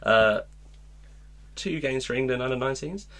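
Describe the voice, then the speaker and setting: A man's voice: medium pitch, moderate pace, a bit bright. A man co-hosting a casual podcast, rambling through football stories with lots of filler words. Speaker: podcast co-host.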